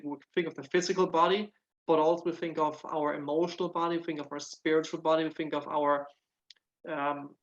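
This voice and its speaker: German guy w a bit of irish in his accent, earnest, repeats himself, muffled lo-fi call sound. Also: on the high side. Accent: German with some Irish. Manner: earnest, repeats words while searching for them. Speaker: guest, nutritional therapist. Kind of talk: casual interview answers.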